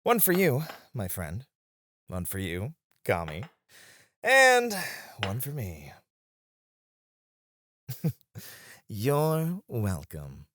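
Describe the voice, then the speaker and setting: A man playing a flirty, supportive club host in an ASMR roleplay, speaking in a gentle, reassuring way. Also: medium pitch, wildly swooping pitch, drawn-out words, long pauses, no echo, full character voice. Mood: warm, playful. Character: flirty, supportive male club host comforting his friends.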